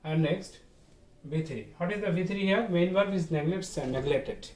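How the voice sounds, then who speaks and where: A man's voice: high-pitched, moderate pace, darkish tone. A male school teacher with a Bangladeshi accent gives an online grammar lesson in a didactic, repetitive way, asking questions and answering them himself. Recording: roomy echo, distant mic, faint noise.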